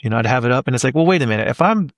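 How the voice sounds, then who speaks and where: A man's voice: medium pitch, animated, very fast, no echo, clean studio sound. A man in his thirties, a voice actor guest on a podcast, talking casually and thinking aloud with plenty of fillers.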